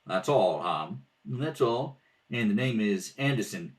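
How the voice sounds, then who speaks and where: Animated man reading a radio play. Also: lowish voice, unhurried. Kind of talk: radio play rehearsal read-through, reading several parts. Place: at home, at a kitchen table.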